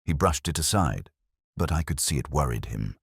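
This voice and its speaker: A man's voice: extremely low pitch, animated, normal speed, slightly rough, dry recording, studio quality, heavily acted. A man voicing a nervous, eager accountant who speaks hesitantly. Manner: hesitant, fretful. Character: nervous, eager middle-aged male accountant.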